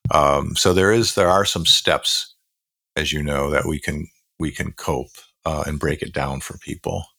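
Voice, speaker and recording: A man co-hosting a podcast, talking in an earnest, measured way with fillers and restarts. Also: rumbling low, fairly even intonation, creaky, bright, forceful tone, no echo. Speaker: podcast co-host. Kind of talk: reflective podcast conversation.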